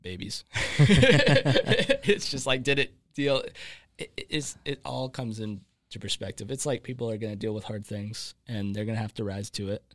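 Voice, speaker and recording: A man's voice: mid-range voice, normal speed, a bit bright, no echo. Twenty-something guy guest on a podcast, laid back and jokey. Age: 20s. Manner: joking, easygoing. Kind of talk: casual chat.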